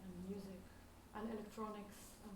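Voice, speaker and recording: A woman's voice: mid-range voice, little pitch movement, normal speed. A woman with an Israeli accent, a lecturer answering audience questions, reflective and searching for words. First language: Hebrew. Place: lecture hall. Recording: roomy hall, distant mic, background noise.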